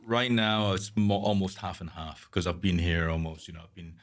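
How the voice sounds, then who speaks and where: Soft-spoken man with a very low, hesitant voice. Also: flat delivery, normal speed. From Scotland. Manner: hesitant, repeats words, trails off softly. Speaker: guest architect. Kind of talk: conversational interview.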